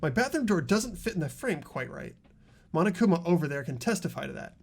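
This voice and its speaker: A performer playing an earnest, argumentative high school student with animated questioning in a fan-voiced game. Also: fairly quick, gravelly. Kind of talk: fan voice acting of a video game script.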